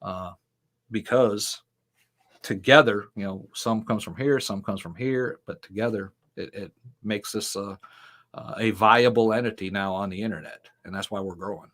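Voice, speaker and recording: A man's voice: lowish voice, normal speed, fairly bright tone. A middle-aged man, a ministry founder and interview guest, telling long stories in casual talk with many fillers and the odd laugh. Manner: rambling storyteller with frequent fillers and laughs.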